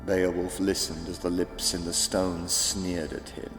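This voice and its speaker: A man narrating a children's audiobook as dramatic, deliberate, theatrical storytelling. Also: low-pitched, level tone. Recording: echoey reverb with faint music.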